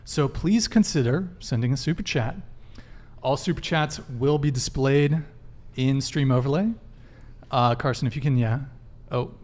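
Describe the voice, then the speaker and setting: Brisk male podcast host. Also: mid-range voice, a little mellow. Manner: brisk promo patter with fillers. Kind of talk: live podcast hosting, reading announcements.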